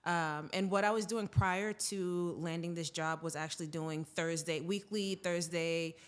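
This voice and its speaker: Female podcast guest from Boston, slightly low voice, informal conversational storytelling. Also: steady tone, measured pace, clean tone. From Boston, United States. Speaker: podcast guest, advertising professional. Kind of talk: casual conversational interview storytelling.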